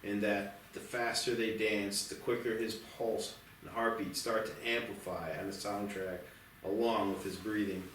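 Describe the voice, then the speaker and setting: A man reading screenplay stage directions in a deliberate, dramatic narration. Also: on the low side, level tone, fairly bright tone, slight background noise. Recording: very echoey, distant mic, music behind.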